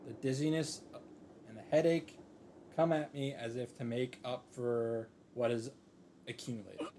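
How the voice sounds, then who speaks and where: A man's voice: medium pitch, steady tone, a little slow, dark tone, a little hiss or hum. A man reading visual novel narration aloud in a dramatic style, reacting off the cuff.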